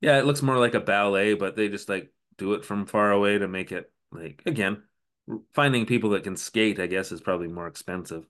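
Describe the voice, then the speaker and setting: A man with a slightly low voice talks through a recap with dry sarcasm, as if chatting with a friend on a podcast. The pace is loose and conversational, and he drops in mocking quotes of the characters. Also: fairly quick, fairly bright tone. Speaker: podcast co-host. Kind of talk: casual recap chat.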